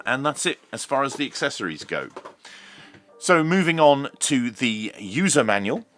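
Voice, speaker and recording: A man's voice: mid-range voice, very animated, moderate pace, fairly bright tone, soft background music. A British man presenting an unboxing review as host, with a jokey, tongue-in-cheek manner. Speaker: host of a radio review channel.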